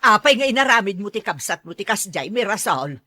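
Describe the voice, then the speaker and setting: A woman's voice: medium pitch, big pitch swings, fairly quick, pushed and bright, no room sound. A woman playing a firm, practical, scolding mother in an Ilocano radio drama.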